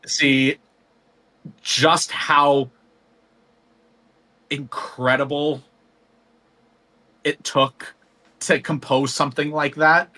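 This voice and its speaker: Man, interview guest, bright tone, reflective, lots of filler pauses. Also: a little high, flat delivery, rough recording. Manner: thoughtful, rambling with many ums. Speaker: guest singer. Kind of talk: casual interview conversation.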